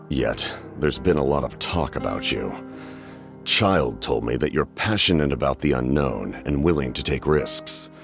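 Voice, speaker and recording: A grave military captain, very low in pitch, commanding yet courteous. The game character speaks in solemn, measured, formal sentences over prominent music. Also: male voice, creaky, heavily acted. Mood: composed, persuasive. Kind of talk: English game voice acting.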